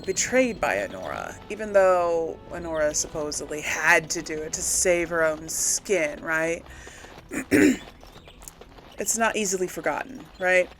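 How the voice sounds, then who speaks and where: A woman hosting a casual let's play, musing and thinking aloud as she goes. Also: lowish voice. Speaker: gaming video host. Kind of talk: casual let's play commentary, some role-played replies.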